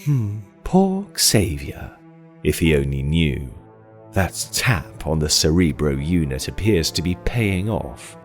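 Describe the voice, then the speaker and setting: A man voicing a sardonic, calculating villain in an audio drama, with a drawn-out, knowing delivery. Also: rumbling low, sing-song, faint music behind, full character voice. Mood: smug, amused.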